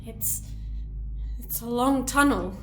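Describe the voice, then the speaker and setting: Anxious young princess, bright voice. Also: female voice, on the high side, slow pace, faint music behind. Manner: earnest, hesitant. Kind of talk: full-cast fantasy audio drama.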